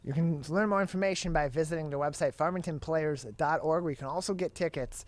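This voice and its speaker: A man's voice: fairly high pitch, fast talker, no echo. A man hosting a broadcast interview, announcing details and asking questions with a polished announcer's delivery. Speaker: interview host.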